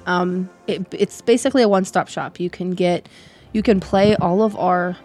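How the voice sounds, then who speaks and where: Raspy-voiced woman co-hosting a chatty podcast. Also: on the low side, fairly even intonation, normal speed, darkish tone, faint music behind. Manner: chatty, filler-heavy, upbeat. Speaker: podcast co-host. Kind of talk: casual chat.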